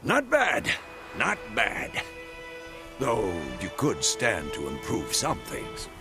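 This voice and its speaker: An actor playing a seasoned monster hunter mentor in a video game, with a wry, teasing, authoritative manner. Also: male voice, mid-range voice, wildly swooping pitch, takes it slowly, music in the background, heavily acted. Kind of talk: video game cutscene dialogue.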